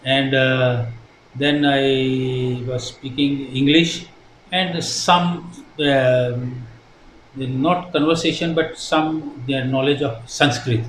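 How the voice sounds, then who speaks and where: An older man from India with an Indian accent, whose first language is Hindi, answering interview questions in a halting, earnest way with many fillers and restarts. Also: mid-range voice, steady tone, a bit bright. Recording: echoey room with faint noise.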